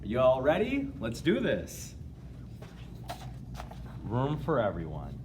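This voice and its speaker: Man, children's read-aloud, very lively pitch, unhurried, some background noise. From United States, Illinois. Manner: animated reading, addressing young listeners. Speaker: chiropractor reading a picture book to children. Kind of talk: storybook read-aloud with casual asides.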